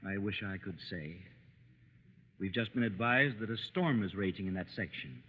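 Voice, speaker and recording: An actor playing a grave, measured hospital doctor in 1940s radio drama style. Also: male voice, sits low, animated. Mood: regretful, formal. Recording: tinny, echoey, hissy vintage radio recording.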